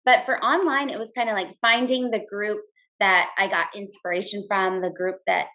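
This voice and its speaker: A woman who is a teacher and blogger, a podcast guest speaking in a relaxed, upbeat way, full of "like" and "you know". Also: medium pitch, moderate pace. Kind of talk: casual interview chat.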